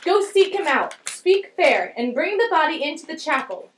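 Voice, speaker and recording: A woman's voice: high-pitched, big pitch swings, moderate pace, a little hiss or hum. A woman playing an anxious, commanding king in an amateur Shakespeare puppet show, declaiming old verse. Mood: urgent, troubled. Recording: echoey room, amateur recording.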